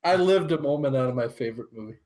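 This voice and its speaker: A man's voice: medium pitch, animated, fast talker, darkish tone, poor audio. Casual male podcast co-host. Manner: informal, loose, conversational. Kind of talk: casual chat, personal anecdotes.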